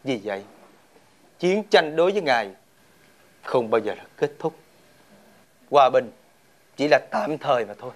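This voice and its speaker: An actor playing a devoted, dignified soldier in a period fairy tale, speaking formally and solemnly. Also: male voice, medium pitch, sing-song, moderate pace, pauses often, close to an everyday voice. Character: devoted, dignified returning soldier and royal attendant. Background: background music.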